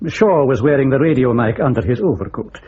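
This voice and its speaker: An actor playing a wry, sceptical British intelligence officer in a radio drama. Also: male voice, medium pitch, animated, fairly quick, darkish tone, lo-fi sound, a little hiss or hum, lightly acted. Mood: sceptical, dry.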